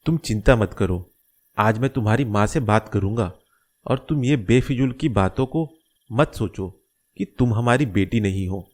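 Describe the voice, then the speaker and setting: A man voicing a caring middle-aged father in a moral-story cartoon, gentle and explanatory as he reassures. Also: lowish voice, moderate pace, lightly acted. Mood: reassuring.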